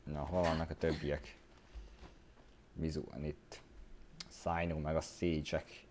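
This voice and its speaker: A man's voice: rumbling low, flat delivery, measured pace, darkish tone, slight background noise. A man with a Hungarian accent giving casual play-along commentary as he streams a game.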